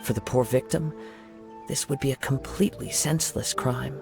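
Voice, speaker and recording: An actor playing a calm, courteous young samurai in a game, speaking in a formal, soft-spoken way. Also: male voice, medium pitch, normal speed, vocal fry, natural voice. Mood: composed. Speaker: wandering samurai. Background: game music.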